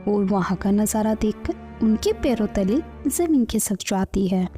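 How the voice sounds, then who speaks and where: A woman's voice: mid-range voice, animated, unhurried, dark tone. A woman storyteller reading scripted narration for a children's story. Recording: music under the voice.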